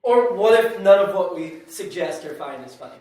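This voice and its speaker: Sceptical female writer played by a woman, lively high voice, outspoken, heard from a distance with heavy echo. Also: moderate pace, natural voice. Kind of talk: scripted live comedy sketch. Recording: very echoey hall, distant microphone.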